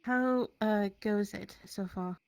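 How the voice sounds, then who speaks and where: Female roleplayer as town medic: medium pitch, matter-of-fact, slightly hesitant, dry close sound. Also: slow pace, creaky, darkish tone, dry recording. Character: town medic tending a wounded hunter. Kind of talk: improvised roleplay dialogue.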